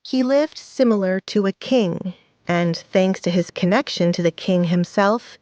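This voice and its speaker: A woman narrating a romance audio drama in a measured, even storytelling manner. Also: mid-range voice, dry recording, lo-fi sound.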